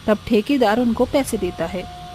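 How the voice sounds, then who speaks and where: High, mellow woman narrator. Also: normal speed, a little hiss or hum. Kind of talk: scripted story narration for cartoon.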